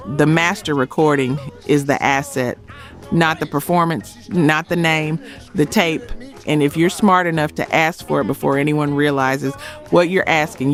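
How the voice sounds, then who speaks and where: A man delivering scripted documentary narration in emphatic short phrases with dramatic pauses. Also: a little high. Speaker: narrator of a music history video. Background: background music.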